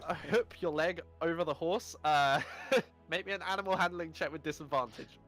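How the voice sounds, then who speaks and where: A man narrating as game master, relaxed and often laughing. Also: on the high side, moderate pace, faint music behind. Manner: relaxed, laughing, lots of filler uh and um.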